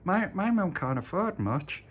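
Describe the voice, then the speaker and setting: A man doing a small boy, pitched far above a grown man's voice. He gushes and stumbles in a breathless rush, generous to the core and thrilled about his birthday. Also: sing-song, a little slow, slight background noise. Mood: eager. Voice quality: high, breathless child voice.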